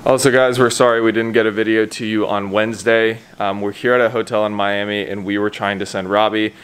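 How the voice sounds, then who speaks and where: A man's voice: lowish voice, little pitch movement, brisk, a bit bright, clear, resonant tone. A man, perhaps in his thirties, chatting casually to a handheld camera as a vlogger. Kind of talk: casual vlog chat. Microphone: handheld camera at arm's length. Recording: noisy vlog audio, ambient outdoor noise.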